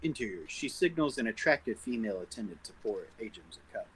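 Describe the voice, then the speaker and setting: A man's voice: lowish voice, expressive, fast talker, breathy edge, rough recording. A man reading stage directions aloud as narrator in an informal script table read.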